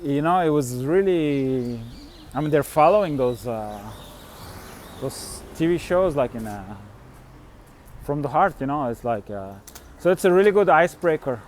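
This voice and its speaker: A man talks in a loose, casual way, filling his sentences with little fillers as he pauses to find words. His voice sits a little on the high side. A faint hum of noise runs underneath. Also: expressive. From Turkey. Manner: halting, full of "you know" and "like" fillers. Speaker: touring musician and vlogger. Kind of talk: casual chat.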